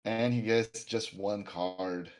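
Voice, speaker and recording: A man's voice: fairly low pitch, steady tone, slow pace, a little mellow. A man giving live color commentary on a card game match, chatty and agreeable. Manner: chatty, agreeable, frequent fillers.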